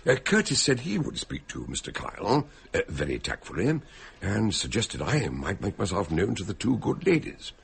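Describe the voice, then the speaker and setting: An actor playing a polite, sensible doctor who tells a shipboard mystery in a measured, hesitant way, radio drama style. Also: male voice, on the low side, wildly swooping pitch, gravelly, slightly rough, full character voice. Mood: measured, explanatory. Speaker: narrator and character in a radio mystery. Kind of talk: radio drama storytelling and dialogue.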